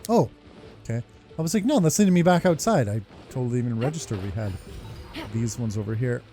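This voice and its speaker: A man giving casual gameplay commentary as a video host, excitable, sweary and informal. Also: medium pitch, fairly quick. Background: game music.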